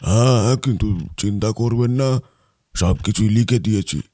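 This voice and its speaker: A man playing a calm, reassuring doctor in a cartoon. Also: on the low side, moderate pace, no echo, clean studio sound, natural voice. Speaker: village doctor. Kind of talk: cartoon voice acting.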